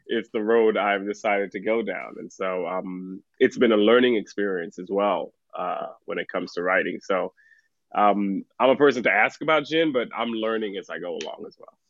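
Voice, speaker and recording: A middle-aged man from Trinidad, a writer, talking about his work in a relaxed way and laughing often. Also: on the low side, moderate pace, gravelly, lo-fi sound. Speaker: author guest. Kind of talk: casual podcast conversation.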